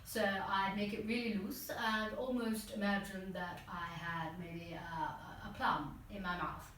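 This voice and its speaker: A woman voicing a bored upper-class snob in a low, loose-jawed, plummy drawl. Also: fairly even intonation, unhurried, a bit bright, lightly acted. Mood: languid, haughty. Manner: pompous drawl. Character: posh, languid upper-class person too bored to articulate. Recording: roomy echo, distant mic, faint noise.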